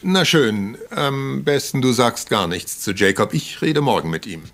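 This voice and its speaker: Cautious doctor, lively intonation. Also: male voice, medium pitch, a little slow. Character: concerned, cautious country doctor. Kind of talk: German radio crime drama acting.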